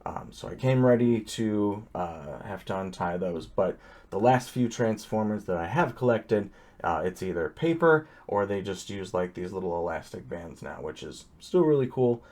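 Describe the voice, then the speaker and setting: A man's voice: lowish voice, animated, normal speed, darkish tone. A man casually talking through a toy unboxing, rambling easily with many ums and uhs.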